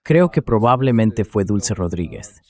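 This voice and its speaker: A man's voice: fairly low pitch, very animated, unhurried, a little mellow. A man's synthetic dubbing voice delivering spoken political commentary as the host of a show.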